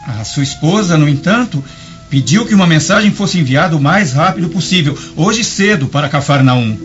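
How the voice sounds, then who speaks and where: Man as a formal, higher-voiced Brazilian messenger clerk. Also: lively intonation, normal speed, lightly acted. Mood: dutiful, firm. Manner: formal, precise, respectful. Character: earnest, deferential male messenger clerk. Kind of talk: radio drama acting. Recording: dull lo-fi audio, faint music.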